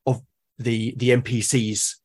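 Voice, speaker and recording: A man's voice: mid-range voice, flat delivery, slow, a little mellow. A man in a conversational interview as a podcast guest, explaining his creative process and often repeating words as he thinks aloud. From Britain.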